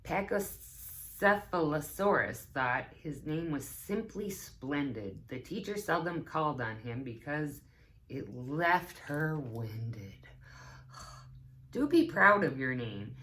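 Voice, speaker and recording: A woman with a low voice leads story time for young children. She reads at an unhurried, slow pace and adds simple, instructive explanations. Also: animated, fairly bright tone, slight background noise. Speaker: teaching assistant reading story time. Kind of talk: children's storybook read-aloud with explanations to kids.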